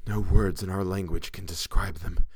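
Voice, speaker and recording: A man voicing a terrified, half-mad character in a horror story, dramatic and drawn-out, swinging between gasps and hysterical cries. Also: mid-range voice, fairly even intonation, fairly bright tone, close to an everyday voice. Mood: haunted, intense.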